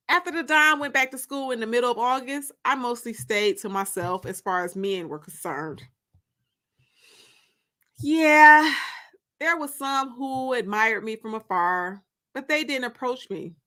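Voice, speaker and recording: A woman narrating a first-person story aloud in an expressive, confiding, emphatic way. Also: a little high.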